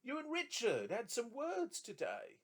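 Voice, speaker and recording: Guy putting on a squeaky overjoyed old porter, storybook style, voice flying way up and down. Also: wildly swooping pitch, a little slow, a bit bright, exaggerated voice. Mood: joyful. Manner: high, breathless, gushing with joy. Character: elderly excitable male porter, overjoyed.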